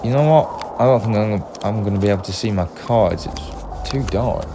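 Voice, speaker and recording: Man playing a hesitant, low-voiced weary traveller. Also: normal speed, noisy background, natural voice. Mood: uneasy, puzzled. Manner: hesitant, naturalistic, conversational. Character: weary, hapless male traveller, easily tempted. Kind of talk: audio drama acting.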